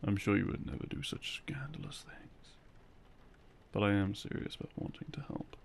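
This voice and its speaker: A man playing a loving, gently teasing boyfriend in an intimate ASMR roleplay, speaking softly and close to a binaural microphone. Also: fairly low pitch, normal speed, creaky. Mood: warm, caring. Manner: soft, hushed, close and coaxing. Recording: close binaural recording, faint noise.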